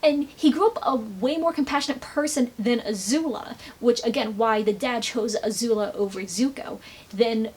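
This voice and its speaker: Female video essayist, higher-pitched voice, conversational and opinionated, emphatic rant delivery. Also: normal speed, darkish tone, slightly rough. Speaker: video essayist, fan commentator. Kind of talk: casual opinionated commentary.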